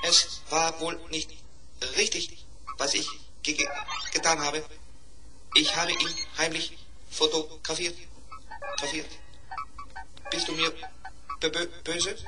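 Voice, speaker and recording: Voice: medium pitch, completely flat delivery, slow pace, long pauses, over music, lightly acted, rough recording. An actor playing a polite, earnest schoolboy robot in a 1970s German children's radio play, speaking precisely. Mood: sheepish, stammering.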